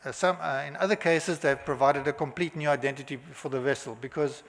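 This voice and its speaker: A man with a South African accent giving a halting conference presentation, full of filler sounds and restarts. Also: a little high, fairly even intonation. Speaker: conference speaker. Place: hotel conference hall. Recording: long hall reverb.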